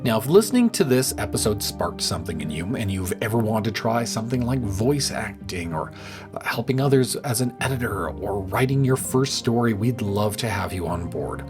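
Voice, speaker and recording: A man's voice: fairly low pitch, big pitch swings, moderate pace, over music. A man speaking as a podcast host, making a friendly announcement straight to listeners. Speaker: podcast host, creative director.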